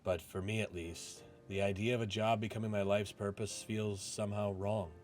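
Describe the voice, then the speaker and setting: A man's voice: fairly low pitch, level tone, normal speed, darkish tone, natural voice. A man voicing a disillusioned, reflective male office clerk who narrates his own life in a visual novel. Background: game music.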